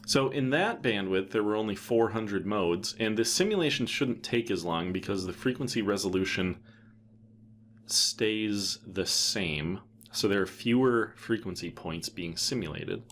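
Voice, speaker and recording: A man presenting a casual, unscripted software tutorial in an offhand way, thinking aloud as he goes. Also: lowish voice, expressive, measured pace, a little hiss or hum.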